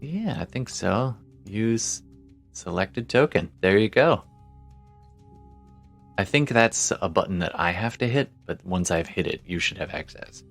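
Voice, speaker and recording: A man's voice: fairly low pitch, animated, a little slow, creaky, soft background music. A man chatting informally as game master of an online tabletop game, often muttering to himself as he works. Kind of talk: casual chat while running an online tabletop game.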